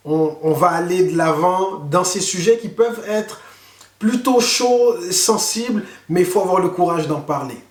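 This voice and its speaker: A man, a pastor, giving an earnest online sermon and slowing down to stress key words. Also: high-pitched. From Canada. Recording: echoey room.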